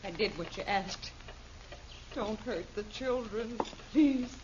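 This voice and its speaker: A woman's voice: mid-range voice, slow pace, close to an everyday voice. An actress playing a frightened frontier woman held hostage, strained in manner, in an old radio drama. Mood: terrified pleading. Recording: dull, faintly noisy old radio recording.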